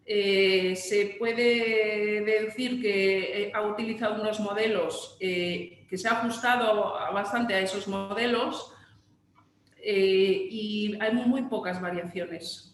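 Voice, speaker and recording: A woman with a Spain Spanish accent answers questions over a video call. She speaks from a strongly echoing room. Her pitch is mid-range, and she pauses often with fillers. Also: a little hiss or hum. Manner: hesitant, many fillers and trailing repeats. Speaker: restoration project director. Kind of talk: answering questions in an online talk.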